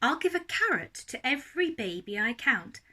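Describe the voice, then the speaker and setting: A woman's voice: sits high, very animated, normal speed, sharp tone, full character voice. A woman voicing a male rabbit from a children's storybook, flustered and muddled but determined, exclaiming in silly nonsense words.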